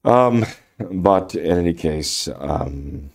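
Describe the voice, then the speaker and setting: Gravelly low-voiced older priest. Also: male voice, expressive. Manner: slow, halting, many fillers. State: mild head cold. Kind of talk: unscripted radio talk.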